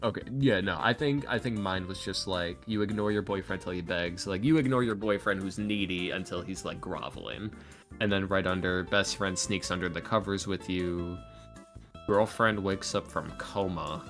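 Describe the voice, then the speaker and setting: Male podcast creator, lowish register, casual and self-deprecating, light music underneath. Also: normal speed, fairly bright tone. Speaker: ASMR roleplay video creator.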